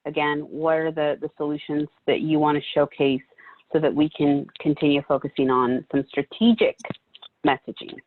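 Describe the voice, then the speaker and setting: A woman's voice: low-pitched, flat delivery, moderate pace, dry recording. A woman moderating a webinar in an upbeat, encouraging way over a video call. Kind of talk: casual moderating. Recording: tinny phone-like line, dry.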